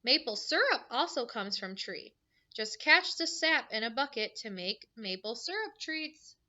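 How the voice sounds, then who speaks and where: A woman's voice: fairly high pitch, sing-song, unhurried, pushed and bright, highs cut off. A woman teacher reading a picture book aloud to young children, adding simple explanations and questions in an instructive way.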